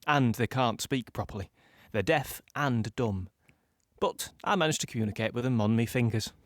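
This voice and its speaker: Audio play: good-humoured Sheffield working lad turned soldier male actor, droll, mid pitch, dry recording. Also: lively intonation, normal speed, no echo, lightly acted. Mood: frank. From Sheffield, England. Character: droll, good-humoured poor steelworker's son, young soldier. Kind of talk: audio play monologue, self-introduction.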